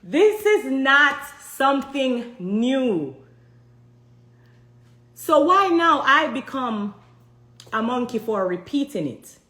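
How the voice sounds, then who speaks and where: A woman's voice: sits high, big pitch swings, takes it slowly, long pauses, fairly bright tone, echoey room. Jamaican woman asking pointed questions. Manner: emphatic, repeats rhetorical questions to the audience. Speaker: vlogger addressing viewers. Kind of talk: direct-to-camera commentary.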